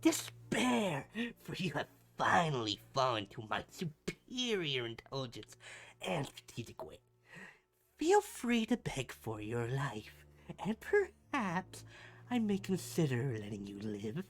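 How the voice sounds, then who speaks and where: A man's voice: mid-range voice, huge pitch swings, takes it slowly, full character voice. A man playing a pompous, melodramatic supervillain in a scripted ASMR roleplay, a bumbling rival who slips between grand speeches and chatty asides. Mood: gloating, grandiose. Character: theatrical, bumbling supervillain, gloating yet secretly caring rival.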